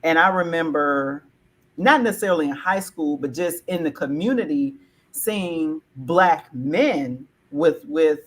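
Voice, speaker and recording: A woman in her forties from Alabama, hosting a casual discussion on a video call, animated and full of "like" fillers. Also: sits low, unhurried. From Alabama, American South.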